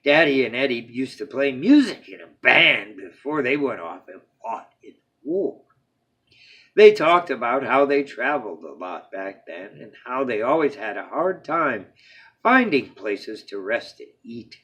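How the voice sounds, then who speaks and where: A man reading a children's storybook aloud as a bedtime story, with dramatic phrasing that opens emphatically and falls to a hush at phrase ends. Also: mid-range voice, very animated, unhurried, slightly rough.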